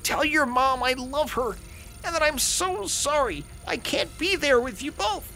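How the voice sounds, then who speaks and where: A man's voice: very high-pitched, lively intonation, unhurried, bright, forceful tone, faint music behind, full character voice, poor audio. A tender, earnest father. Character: loving inventor father, middle-aged, earnest.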